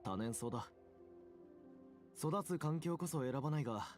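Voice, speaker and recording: A man's voice: a little high, animated, fast, darkish tone, natural voice. A male actor voicing an earnest, explanatory character in a video game. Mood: earnest, explaining. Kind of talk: Japanese video game voice acting. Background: game music.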